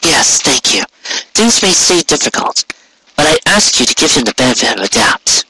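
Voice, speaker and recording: A man voicing a calm, regal, motherly pony princess in a storybook reading, gentle and reassuring, with noise on the recording. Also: fairly high pitch, moderate pace, pitched above the performer's own voice. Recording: clearly noisy amateur recording with clicks.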